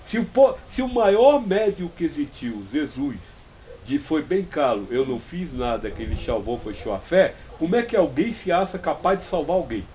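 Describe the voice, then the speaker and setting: A man's voice: on the high side, very animated, normal speed, mellow, a little hiss or hum. A Brazilian man leading an informal spiritual discussion, answering with emphatic repetition and rhetorical questions. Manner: emphatic, repeats phrases, uses rhetorical questions.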